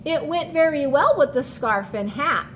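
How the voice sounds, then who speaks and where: A woman teacher giving a children's read-aloud and lesson, expressive and instructional, often asking questions. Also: sits high, normal speed, slight background noise. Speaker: teacher and storyteller.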